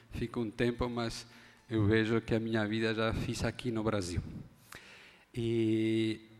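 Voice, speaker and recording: Spanish-accented man lecturing in a hall. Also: mid-range voice. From Spain. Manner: measured, halting, restarts phrases. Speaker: academic speaker at a forum. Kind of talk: formal lecture talk. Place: conference hall. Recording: long hall reverb.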